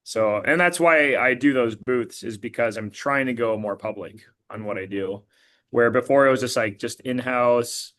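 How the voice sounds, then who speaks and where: Hoarse man answering casually. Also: mid-range voice, moderate pace, fairly bright tone. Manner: rambling, many fillers and restarts. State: voice partly lost, a bit hoarse. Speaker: blood analysis practitioner and girls flag football coach.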